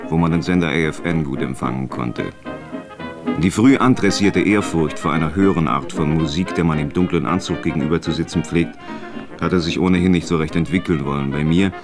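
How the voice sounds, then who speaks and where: A man with a very low voice reads scripted literary prose for radio. Brass music plays clearly behind him. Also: fairly quick.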